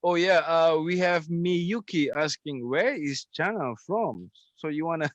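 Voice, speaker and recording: A man co-hosting a casual live interview, relaxed and quick to chuckle, relaying viewer questions. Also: a little high, no room sound, muffled recording. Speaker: co-host.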